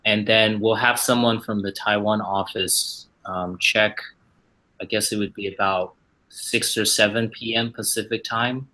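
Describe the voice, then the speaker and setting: Halting man with a bright, lowish voice. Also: fairly even intonation, poor audio. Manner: halting, many fillers and repeated starts. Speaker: company staff member hosting a Q&A. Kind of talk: casual livestream Q&A. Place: company office.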